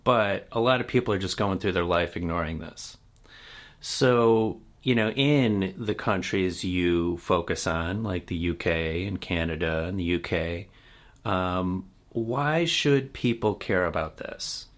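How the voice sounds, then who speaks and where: A man with a fairly low voice acts as interviewer. He talks informally and plainly, setting up his questions in a casual, chatty style. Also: a little slow. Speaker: interviewer, host.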